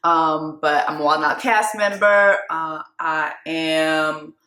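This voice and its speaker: Bright-toned chatty woman in an echoey room. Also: sits low, slow pace. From Canada. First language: Haitian Creole. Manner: chatty, loose, self-deprecating, rambling asides. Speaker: vlogger and TV co-host. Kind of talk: casual chat to camera.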